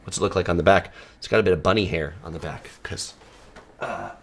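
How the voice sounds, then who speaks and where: A man hosting a travel channel, casually answering viewer questions in a relaxed, conversational way with frequent ums. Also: deep voice, fairly quick, vocal fry, slight background noise. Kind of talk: casual live Q&A. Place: home studio.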